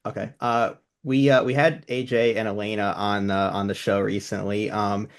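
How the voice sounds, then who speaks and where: A man's voice: fairly low pitch, level tone, moderate pace. Hesitant male podcast host. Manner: hesitant, many ums and restarts. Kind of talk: casual podcast chat.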